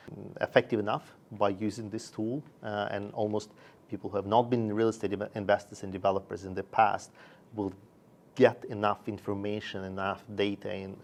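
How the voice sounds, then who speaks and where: A man from Russia and Sweden, a founder talking about his career in halting, measured phrases, as in an interview. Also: fairly low pitch. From born in Russia, raised in Sweden. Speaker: company founder and investor.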